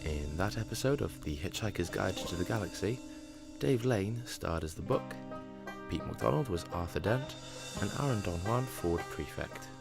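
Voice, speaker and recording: Low, creaky male announcer. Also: expressive, normal speed. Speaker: credits announcer. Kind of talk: scripted announcement. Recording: loud guitar music behind.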